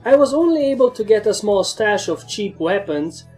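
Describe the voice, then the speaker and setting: A woman's voice: medium pitch, animated, normal speed, warm tone, faint music behind. A woman narrator reading a scripted first-person fantasy story.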